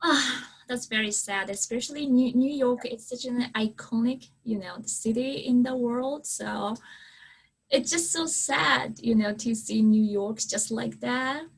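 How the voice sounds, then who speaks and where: A woman's voice: on the high side, unhurried, gravelly, breathy edge, low-quality recording. A woman hosting a casual chat, bubbly and effusive in her talk. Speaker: host of an English-learning channel. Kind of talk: casual video-call chat.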